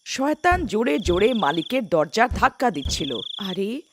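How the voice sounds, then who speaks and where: A woman's voice: mid-range voice, very animated, moderate pace, noisy background. A woman narrating a scripted cartoon folk tale as a storyteller.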